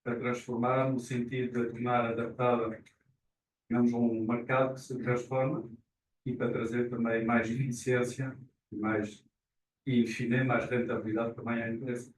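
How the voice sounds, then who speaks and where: A man at a meeting, answering a question in a measured, explanatory way. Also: medium pitch, flat delivery. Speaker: committee member. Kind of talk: meeting discussion. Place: meeting room. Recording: roomy, distant mic with faint noise.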